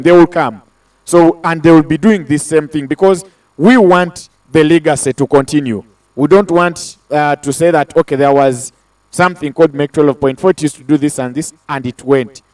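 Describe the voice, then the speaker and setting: A man moderating an online panel, loud and talkative, addressing the audience directly. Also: fairly high pitch, distant mic, low-quality recording. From Africa.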